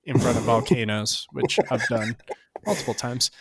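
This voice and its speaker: A man's voice: medium pitch, normal speed, a bit bright, a little hiss or hum. A man co-hosting a casual movie podcast, full of playful jokes and banter. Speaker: podcast co-host.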